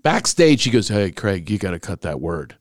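A man's voice: deep voice, moderate pace, no room sound, clean studio sound. A man with an American accent hosting a podcast, chatting casually and joking as he tells stories. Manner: joking, animated storytelling. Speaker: podcast host. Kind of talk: casual comedic interview chat.

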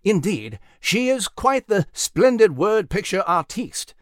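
A jaunty storybook animal with a gentleman's airs, put on by a man. He is pompous and wordy, with lively swings of pitch in a dry recording. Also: takes it slowly, dry recording, exaggerated voice. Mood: jaunty, quipping. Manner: posh, wordy, quipping.

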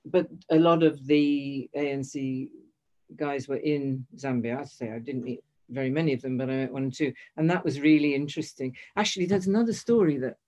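A woman's voice: rumbling low, a little fast, warm tone. An older woman telling a long personal story in conversation, hesitant, restarting and correcting herself often. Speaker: guest.

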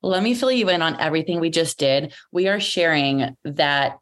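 Low-voiced earnest woman guest. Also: moderate pace. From US Southwest. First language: Greek. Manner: earnest, passionate, filler "like". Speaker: podcast guest. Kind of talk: casual interview chat.

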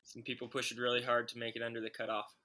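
A man, a guest runner, answering in an even, measured way with plenty of fillers. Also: medium pitch. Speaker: guest ultrarunner. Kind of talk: casual interview chat.

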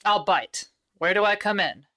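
A woman's voice: medium pitch, moderate pace, sharp tone, close to an everyday voice. Hard-boiled female detective played by an actress: dry, skeptical, mid-range voice, radio-play noir narration and dialogue. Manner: dry, sardonic. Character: wry, hard-boiled female private eye narrating her case.

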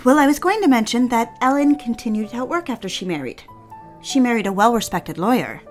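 An actress playing a dutiful young career woman in an audio drama, anxious and restrained with a quiet defiance. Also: a little high, expressive, a little fast, soft background music, natural voice. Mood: unsettled. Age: young adult. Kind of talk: scripted audio drama acting.

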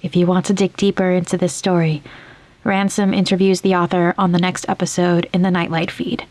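A woman hosting a podcast, reading scripted announcements and credits in a measured, clearly enunciated way. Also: lowish voice, flat delivery, darkish tone, no room sound. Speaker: podcast host.

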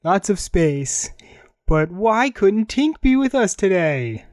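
A man's voice: high-pitched, big pitch swings, slow, a little mellow, dry recording, clean studio sound. A man hosting an anime radio show, chatting casually and introducing songs.

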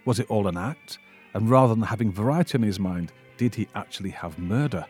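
A man reading a scripted narration that recaps an old mystery film, with a husky voice. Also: fairly low pitch, brisk, a little mellow, soft background music. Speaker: narrator presenting a film summary.

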